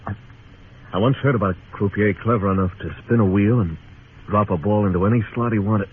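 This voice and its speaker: An actor playing a tough, laconic frontier marshal in a radio western, speaking and narrating in a terse, deadpan way. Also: male voice, fairly low pitch, fast, slight background noise, lightly acted. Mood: dry, wry. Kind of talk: old-time radio western acting and narration. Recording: tinny old radio recording with music.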